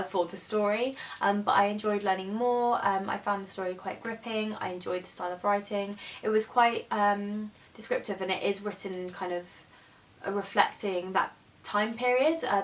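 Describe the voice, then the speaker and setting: A young woman in her twenties, chatting casually and a little hesitantly as she reviews books she has read. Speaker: book vlogger. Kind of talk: casual book review chat. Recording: voice from a distance over faint rumble.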